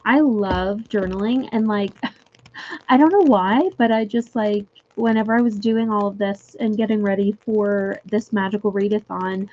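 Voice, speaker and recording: A young woman chatting casually with viewers on a livestream from home, giggly and full of fillers, her voice going a little hoarse. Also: mid-range voice, fairly even intonation, unhurried, darkish tone, dull audio. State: losing her voice. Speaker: livestream host. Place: home room.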